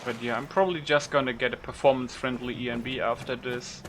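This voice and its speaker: A man's voice: medium pitch, fairly even intonation, fast talker, a bit bright, slight background noise. A man giving casual, unscripted gameplay commentary, thinking aloud in an informal way with some mild swearing. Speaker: gaming video creator.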